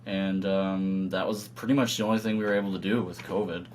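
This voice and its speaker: Male band guest, lowish voice, casual chat, faintly noisy humming recording. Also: steady tone, brisk, a little mellow. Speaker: band guitarist being interviewed. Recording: clear background hum and noise.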